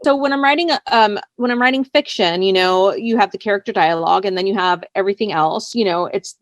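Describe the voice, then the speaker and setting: A woman chatting casually as an interviewed writer, with an animated, easygoing conversational way of talking. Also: medium pitch, fairly quick, no echo.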